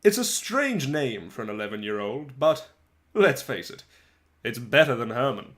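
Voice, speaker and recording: A man giving an expressive dramatic reading of a children's story as an amateur narrator, with deliberate pauses. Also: medium pitch.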